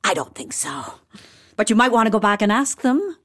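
An actress playing a brisk, no-nonsense royal physician with a wry, matter-of-fact manner in a comedic audio drama. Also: female voice, mid-range voice, sing-song, a bit bright. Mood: dry, brisk.

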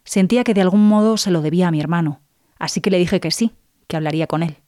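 Actress as low-voiced grieving sister. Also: female voice, fast talker, mellow, lightly acted. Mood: wistful, doubtful. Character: murder victim's younger sister, earnest, grieving, insistent on justice. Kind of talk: documentary-style testimony.

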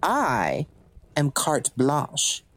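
A woman's voice: deep voice, wildly swooping pitch, very slow, no room sound, exaggerated voice. A woman acting in an audio drama as a cocky legendary assassin and thief for hire, with smug, theatrical, drawling taunts. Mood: playful, boastful.

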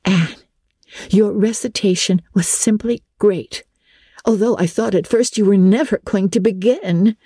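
A wistful teenage girl with a sighing manner, as a woman narrator plays her. The voice is raised in pitch and gently mellow, longing for finer things, in a dry storybook reading. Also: a little slow, breathy edge, no room sound. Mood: wistful, excited. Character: Jane, wistful teenage girl dreaming of riches.

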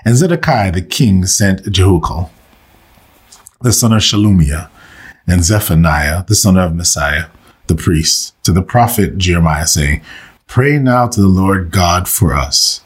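A man leading a slow, meditative scripture reading and prayer for listeners, saying he is under the weather and losing his voice. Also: sits low, animated, husky. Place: recorded for online listeners.

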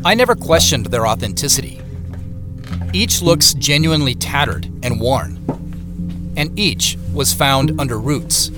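An actor voices a brooding, suspicious man telling his own investigation in an audio drama. His mid-pitched voice is measured and introspective yet rises and falls a lot, over a clear music bed. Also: animated, close to an everyday voice. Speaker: narrator. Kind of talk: first-person scripted audio drama narration.

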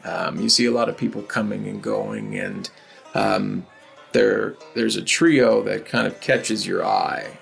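Male game master, gravelly and rough-edged, casual halting narration. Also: fairly low pitch, lively intonation, fairly bright tone, faint music behind. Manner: loose, halting narration with fillers.

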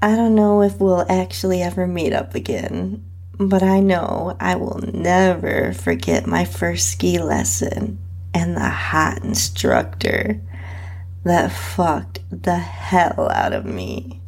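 Female narrator for intimate adult fiction: lowish, breathy and husky, with an expressive, swooping melody and lingering delivery. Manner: breathy, sensual, drawn-out delivery with soft moans. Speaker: podcast host and narrator reading own story. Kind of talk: intimate first-person erotic story narration.

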